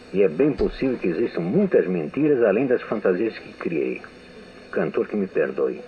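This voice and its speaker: Older male radio veteran, measured reflective delivery; thin phone-like archival sound. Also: on the low side. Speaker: radio writer giving a testimony. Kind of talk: memoir reading. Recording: tinny lo-fi archival audio with hiss.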